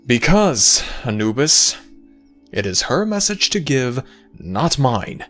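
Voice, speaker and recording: Casting: male actor as teasing Egyptian god of the afterlife, lively swooping delivery, sly dramatic pauses, fantasy audio drama over music. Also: mid-range voice. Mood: lofty, knowing. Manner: theatrical, sly, measured storytelling with dramatic pauses. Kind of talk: scripted fantasy audio roleplay.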